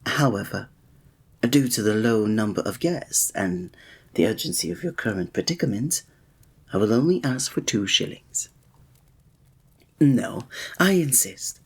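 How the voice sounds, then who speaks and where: A man's voice: on the low side, expressive, unhurried, long pauses, breathy edge, exaggerated voice. A man playing a flirtatious, courteous innkeeper in a fantasy village, speaking formally in a scripted ASMR roleplay addressed to the listener. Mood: courteous, warm. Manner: formal, old-fashioned, teasing, suave diction.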